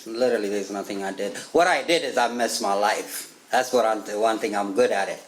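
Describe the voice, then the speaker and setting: A man in his thirties from Ethiopia with an Ethiopian accent, telling his recovery story as a guest speaker at a meeting, joking and laughing often with halting restarts. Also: fairly low pitch, slight background noise, rough recording. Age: 30s. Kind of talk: personal recovery story, casual chat. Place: church meeting room.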